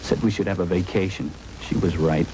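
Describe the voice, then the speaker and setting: An actor playing an anxious, folksy young husband with a hesitant way of talking in a 1950s radio drama. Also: male voice, deep voice, fairly even intonation, fairly quick, natural voice. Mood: worried. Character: anxious young husband awaiting his wife's childbirth. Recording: noisy old-time radio recording.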